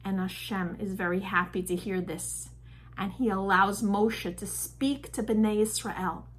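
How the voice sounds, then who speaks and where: A woman teacher telling a story to young children with deliberate, expressive phrasing. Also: medium pitch, a little hiss or hum.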